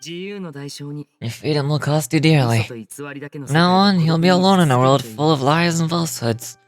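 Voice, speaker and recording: A man voicing a theatrical young male stage magician from a game, knowing and cutting, with deliberate, pointed delivery. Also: on the high side, crowd noise, close to an everyday voice. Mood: cold.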